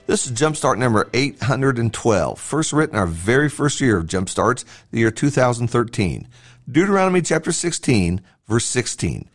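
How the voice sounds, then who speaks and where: A man reading a scripted devotional in a sermon-like style, speaking earnestly and deliberately as a podcast host and preacher. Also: medium pitch.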